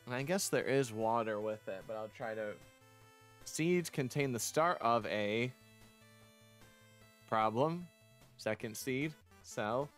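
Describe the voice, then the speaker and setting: Low-voiced man streaming a visual novel. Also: lively intonation, takes it slowly, pauses often, faint music behind. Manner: rambling, chatty asides between read lines. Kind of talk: casual game playthrough commentary with read-aloud dialogue.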